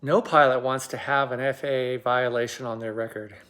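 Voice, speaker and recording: A man giving explanatory commentary as a host, measured and pausing for emphasis. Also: mid-range voice, fairly even intonation, a bit bright.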